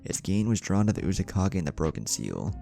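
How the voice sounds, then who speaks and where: A man's voice: deep voice, very animated, a little fast, vocal fry, warm tone. A man reads a dramatic scripted story as its narrator, with music behind him. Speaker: fan fiction narrator.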